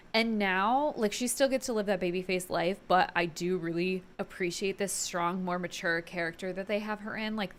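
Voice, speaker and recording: A woman giving a casual, chatty review in the informal, enthusiastic way of a fan vlogger. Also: mid-range voice.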